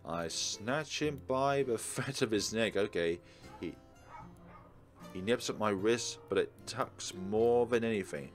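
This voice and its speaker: Male gaming host, slightly deep voice, expressive story reading with casual asides, faint music behind. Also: unhurried. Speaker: let's play host. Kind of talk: reading a visual novel aloud with casual commentary. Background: soft game music.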